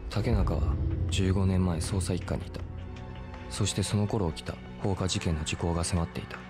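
An actor playing a tough, blunt young male street fighter in a Japanese game drama, gruff and rough-spoken. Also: deep voice, fairly even intonation, normal speed, music in the background, natural voice. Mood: pensive. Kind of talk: Japanese video game cutscene acting.

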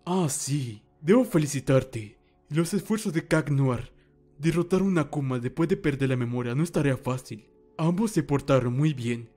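A man playing a calm, wise old mentor in a fan dub, speaking formal Latin American Spanish in a measured, reassuring way. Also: a little high, faint music behind. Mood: calm, grave.

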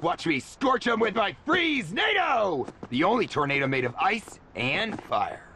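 An actor playing a theatrical young hero fighter who brags dramatically. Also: male voice, high voice, sing-song, unhurried, pushed and bright, a little hiss or hum, exaggerated voice. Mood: boastful. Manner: bragging, dramatic.

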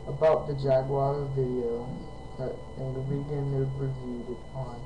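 A young adult vlogger rambling excitably in a home webcam chat, jumping between topics, recorded on a built-in webcam mic in a small room. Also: mid-range voice, moderate pace, roomy sound, background noise.

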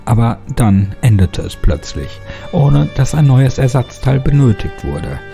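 A man's voice: mid-range voice, sing-song, unhurried, creaky, dark tone. A man giving a scripted, dramatic first-person narration of a horror story. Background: music.

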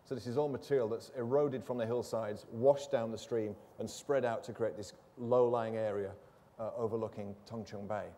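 Male lecturer, low mellow voice, steady explanatory delivery, big hall reverb. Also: normal speed. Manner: steady, explanatory, occasional fillers. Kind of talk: academic lecture. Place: lecture theatre.

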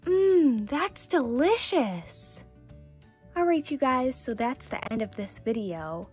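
A woman's voice: a little high, very animated, normal speed, faint music behind. A woman voicing a cheerful doll girl in a scripted toy play video, sweet, enthusiastic and instructional. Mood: delighted. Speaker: toy channel host.

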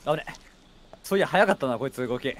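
A man in casual game chat, blunt and excitable, with rough wording and exclamations. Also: medium pitch, quick pace. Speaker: livestreamer playing co-op game.

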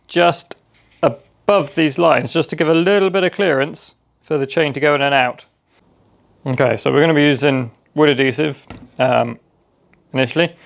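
A man explaining a woodworking job step by step in a relaxed, practical way while he works in his home workshop. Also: fairly high pitch, vocal fry. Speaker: DIY workshop host. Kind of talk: casual how-to narration while working. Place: cluttered home workshop, indoors.

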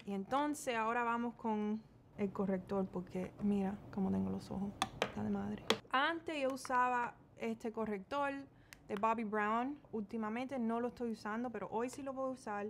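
A woman's voice: a little high, fairly even intonation, moderate pace, noisy background. Chatty Cuban-American woman from Miami. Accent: Cuban-American Miami Spanish. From United States, Miami. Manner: chatty, mixes English words into Spanish. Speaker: beauty vlogger. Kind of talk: casual makeup tutorial chat. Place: small bedroom indoors.

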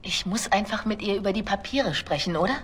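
A woman's voice: medium pitch, a little fast, breathy edge, lightly acted. An actress voicing a young female spy in a video game, earnest and questioning, loyal but worried. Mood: uneasy, earnest. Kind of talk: dramatic video game dialogue.

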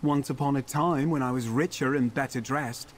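An actor playing a vain, witty fallen dandy in a video game, theatrical and droll. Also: male voice, medium pitch, moderate pace, a little hiss or hum, close to an everyday voice. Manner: theatrical, self-regarding, droll.

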